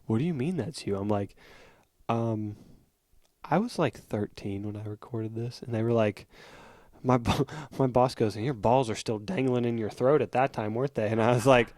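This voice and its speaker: A young man co-hosting a casual comedy podcast, telling animated stories and laughing often. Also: mid-range voice. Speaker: podcast co-host.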